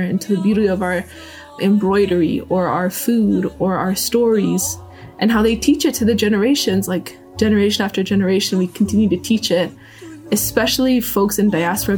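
A woman from Seattle talking in a casual, animated interview, with many fillers. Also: medium pitch, brisk, faint music behind. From Seattle, United States.

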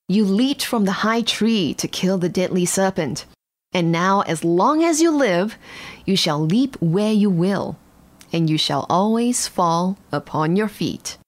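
A woman voicing a kind, gentle male magician in a bedtime storybook reading, speaking softly and gratefully. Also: medium pitch, close to an everyday voice. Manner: soft, warm and grateful.

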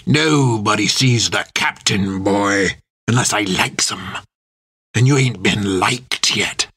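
A man voicing a rough, taunting sailor in an audiobook, jeering in rustic old-salt dialect. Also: fairly low pitch, slow pace, a bit bright, no room sound, exaggerated voice. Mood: jeering threat.

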